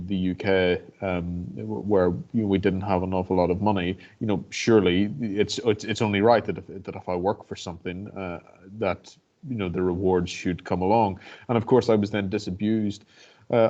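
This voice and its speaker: A man's voice: fairly low pitch, a little mellow, dull audio. A man with a Northern Irish accent who speaks reflectively on a video call, hesitating and restarting his sentences often. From Northern Ireland. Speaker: barrister and guest speaker. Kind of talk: personal talk to an online audience.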